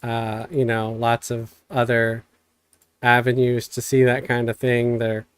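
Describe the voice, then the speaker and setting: A man hosting a live stream, chatting casually in a soft, calm way. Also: mid-range voice, a little slow, clear, resonant tone, no room sound. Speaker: streamer host. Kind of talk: casual chat.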